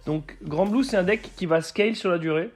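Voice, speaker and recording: A man with a hoarse voice from a cold, commentating a card game match he played and explaining each play step by step. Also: sits high, brisk, faint music behind. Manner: explains plays step by step with frequent fillers.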